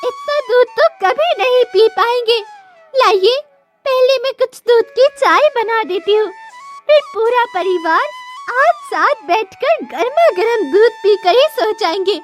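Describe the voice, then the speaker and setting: Woman voicing a very high-pitched, eager village housewife. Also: animated, unhurried, soft background music. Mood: pleasantly surprised. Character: practical, hopeful village housewife in a poor family.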